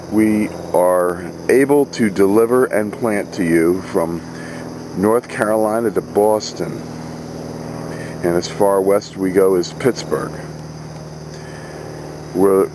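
A man running a family tree farm, talking outdoors in a brisk, salesman-like way as he lists what the business offers. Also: low voice, background noise. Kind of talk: spoken advertisement pitch.

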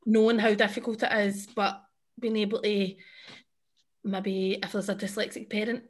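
A woman's voice: medium pitch, level tone, normal speed. A young Scottish woman hosting a casual podcast and quiz over a video call, bubbly and chatty, laughing often. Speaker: podcast host.

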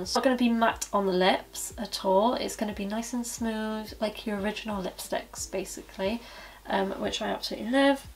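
A young woman reviewing lipsticks to camera in a chatty way, her voice a little croaky from hay fever, often saying obviously and so yeah. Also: mid-range voice, slight background noise, rough recording. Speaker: beauty vlogger and cosmetics consultant. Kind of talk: casual product review to camera. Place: small indoor studio with plain backdrop. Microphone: camera at head-and-shoulders framing.

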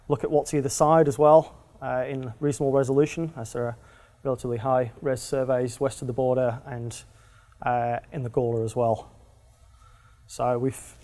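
A man, presenting geology at a lectern in a conference room, halting with many fillers and restarts. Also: medium pitch, a little mellow. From Australia. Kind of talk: technical conference talk. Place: conference room with projector screen. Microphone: lectern microphone.

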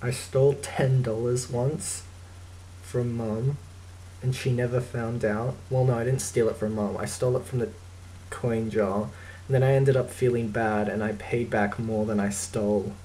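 Deadpan young Australian man on webcam. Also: mid-range voice, measured pace, slight background noise, rough recording. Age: young adult. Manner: dry, deadpan, self-mocking. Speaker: vlogger. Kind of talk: casual talk to camera, answering a question list. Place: small indoor room.

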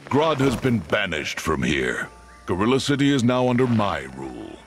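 A man's voice: fairly low pitch, big pitch swings, moderate pace, soft background music. A man voicing a proud, stern gorilla ruler in a video game, imperious and defiant. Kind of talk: animated video game acting.

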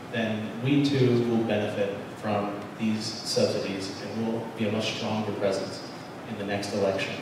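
A young man, a political candidate, giving a halting closing statement full of fillers into a handheld mic in a large banquet hall. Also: fairly low pitch. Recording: echoey hall from far off, background noise.

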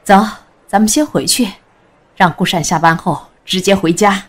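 A kindly middle-aged mother, played by a woman in an audio drama. Her voice is husky and medium in pitch. She talks in a coaxing, motherly way and is protective of the young. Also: normal speed, natural voice. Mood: welcoming. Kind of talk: Mandarin audio drama acting.

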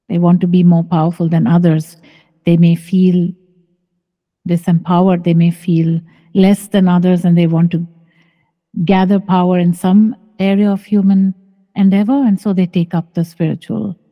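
Woman, middle-aged, spiritual teacher, calm, repetitive phrasing, dark mellow voice, reverberant. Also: on the low side. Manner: calm, slow, repeats key phrases. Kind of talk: satsang teaching, measured and deliberate.

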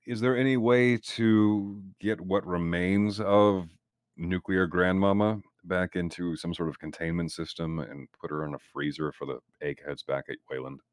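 A man roleplaying a calculating corporate representative, talking in wordy, formal, deliberate phrases as he improvises. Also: low voice, no echo, studio quality. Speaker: tabletop game player. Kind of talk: in-character improvised roleplay dialogue.

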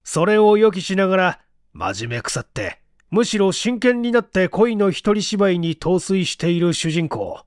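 An eager, smug writer from a storybook, acted by a man, rambles through his explanations. His pitch swings widely, and the sound is close and dry. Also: sing-song, a little slow, no echo. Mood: absorbed, smug. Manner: self-satisfied, explanatory, rambling.

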